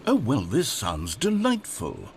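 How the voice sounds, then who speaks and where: A man's voice: mid-range voice, wildly swooping pitch, a little slow, a little mellow, a little hiss or hum. An actor playing a dry, sarcastic male game narrator with mock enthusiasm, in a game. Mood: sardonic.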